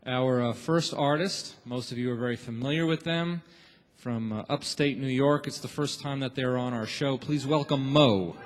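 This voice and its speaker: A man hosting a radio show taping, giving informal, encouraging warm-up announcements from a theater stage. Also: mid-range voice, moderate pace, a little hiss or hum. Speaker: radio show host and emcee. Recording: echoey theater hall with long reverb.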